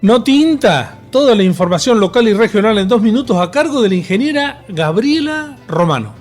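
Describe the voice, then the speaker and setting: Animated, high-pitched Argentine male radio host. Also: normal speed, soft background music. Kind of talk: presenting a regional radio magazine.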